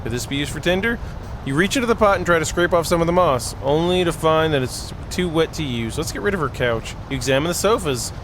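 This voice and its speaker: A man's voice: on the high side, lively intonation, quick pace, noisy background. A man hosting a gaming video, reading narration aloud with playful, jokey improvised asides.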